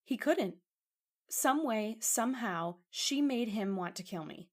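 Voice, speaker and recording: A woman's voice: on the high side, animated, a little slow. A shaken young woman. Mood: haunted. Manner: emotional, breathless, pleading. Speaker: voice actor. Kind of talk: old-time radio drama acting.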